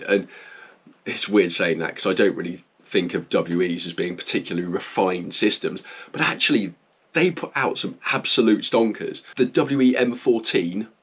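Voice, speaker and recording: A man's voice: low-pitched, husky. A man with a stuffy, nasal voice from a cold, hosting his channel and chatting casually and enthusiastically about gear. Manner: chatty and enthusiastic, many "like" fillers. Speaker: airsoft channel host. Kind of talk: casual talk to camera, gear review.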